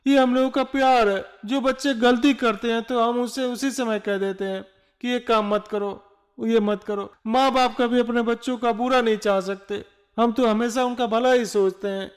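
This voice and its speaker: A man voicing an elderly, gentle, worried father and husband in a cartoon story. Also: extremely high pitch, steady tone, normal speed. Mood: tender, earnest.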